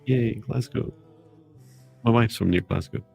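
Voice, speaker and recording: A man's voice: mid-range voice, measured pace, gravelly, a little mellow, faint music behind. A middle-aged man hosting a live stream in casual, jokey, chatty conversation.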